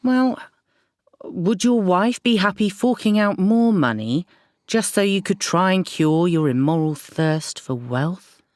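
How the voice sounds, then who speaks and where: An actress voices a coy, seductive woman counsellor with a schemer's guile. Her voice sits slightly low and moves slowly, with lively rises and falls. It is an audio drama performance, recorded dry. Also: no room sound. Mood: coy, flustered. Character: coy, seductive female counsellor, secretly a con artist.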